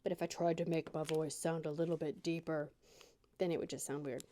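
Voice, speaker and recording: A woman's voice: deep voice, little pitch movement, brisk, mellow, a little hiss or hum. A middle-aged woman chatting casually at her dining room table while crafting, rambling and poking fun at herself. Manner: rambling, self-deprecating, chatty. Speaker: crafting channel host.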